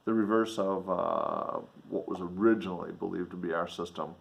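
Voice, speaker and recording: A man reading a classic novel in an expressive audiobook style, with spoken commentary. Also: low-pitched, moderate pace, gravelly.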